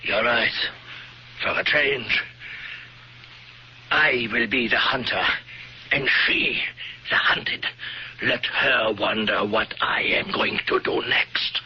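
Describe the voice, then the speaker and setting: An actor playing an agitated, hesitant former Nazi camp doctor in a radio drama. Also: male voice, medium pitch, slow, long pauses, breathy edge. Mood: menacing. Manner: hesitant, agitated, repeats words. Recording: muffled, faintly hissy old radio broadcast.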